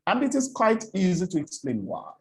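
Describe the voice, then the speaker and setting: A man from Nigeria with an Igbo accent, lecturing on English speech for presenters in a deliberate way, repeating words and giving examples. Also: sits high, sing-song, gravelly. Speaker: presentation coach. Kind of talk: spoken lecture on English diction.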